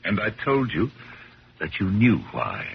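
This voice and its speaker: A stiff, pompous old gentleman in a vintage radio play. His low voice swings emphatically as he accuses and lectures in formal phrases. The recording is muffled, with noticeable hiss. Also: wildly swooping pitch, measured pace, vocal fry, heavily acted. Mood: stiff, evasive. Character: rich old man, stiff, accusing, self-righteous collector. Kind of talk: 1970s radio drama acting. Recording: muffled, hissy 1970s radio broadcast.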